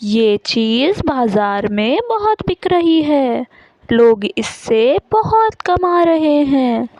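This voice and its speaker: A woman's voice: sits high, darkish tone, no echo, muffled recording, exaggerated voice. Woman voicing an eager, insistent cartoon crow husband. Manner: persuasive, insistent. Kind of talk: Hindi cartoon character voice.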